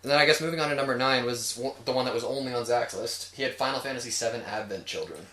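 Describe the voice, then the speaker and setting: A man's voice: mid-range voice, fast, fairly bright tone. A man hosting a casual top ten movie discussion, leading it in loose, profane language. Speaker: host of a movie review show. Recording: roomy echo, noticeable background noise.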